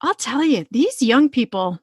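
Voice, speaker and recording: A woman's voice: high voice, sing-song, measured pace, husky, no room sound, clean studio sound. A middle-aged woman hosting a casual interview, talking in a frank, playful, colloquial way. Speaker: podcast host. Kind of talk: casual, informal interview chat.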